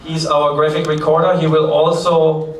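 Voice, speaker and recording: A man's voice: fairly high pitch, level tone, moderate pace, far from the mic. Brief: German-accented male conference host, chatty and practical, echoey hall, faint background noise. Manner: chatty, practical, light asides. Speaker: forum host. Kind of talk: conference opening remarks.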